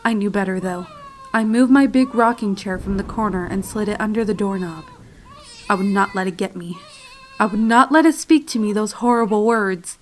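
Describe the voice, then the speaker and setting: A woman's voice: medium pitch, moderate pace, natural voice. Woman as a frightened pony filly over noisy effects. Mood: fearful. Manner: solemn, dramatic, emotive delivery. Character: frightened little pony filly, tearful and trembling. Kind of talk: first-person dramatic fanfiction horror narration. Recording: clear noise and sound effects behind. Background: storm and animal sound effects, noise.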